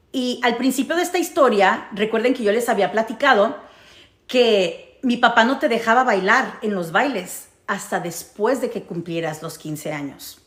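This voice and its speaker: A woman's voice: fairly high pitch, a bit bright, reverberant. A woman with a Mexican Spanish accent tells a personal story casually to her followers, emphatically repeating phrases and checking in with her listeners. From United States, grew up in New Jersey. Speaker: storytelling vlogger.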